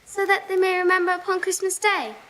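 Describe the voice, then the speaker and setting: A woman's voice: very high-pitched, flat delivery, brisk, bright, forceful tone. A woman playing a frail, sweet, pious little boy in a live radio play, speaking with earnest innocence. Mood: innocent, earnest. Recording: noisy live stage recording with clicks.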